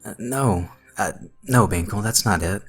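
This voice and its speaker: A scared young boy, acted by a male narrator, speaking higher in a shaky, hesitant way. He is polite and pleading, as in a horror storybook, with music underneath. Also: slow, a bit bright. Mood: nervous.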